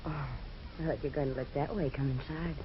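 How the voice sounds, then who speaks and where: An actress playing a scheming murderous wife in a 1940s radio drama, cool and cutting. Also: female voice, deep voice, steady tone, fast, natural voice. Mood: sultry. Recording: dull old radio broadcast with faint hiss.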